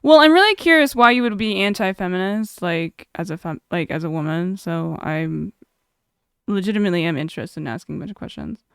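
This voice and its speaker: Chatty young woman streamer, smooth voice. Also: lowish voice, animated, fairly quick, no echo, studio quality. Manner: chatty, laughs often, reads chat aloud. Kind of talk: casual live-stream chat.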